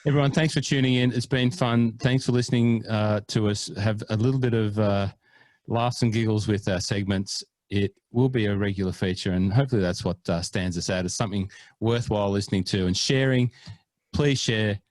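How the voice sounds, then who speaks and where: A man's voice: on the low side, fairly even intonation, a little fast, a little mellow, no echo. A man co-hosting a golf podcast in casual chat, playful and mock-earnest, hamming up foreign pronunciations. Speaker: podcast co-host.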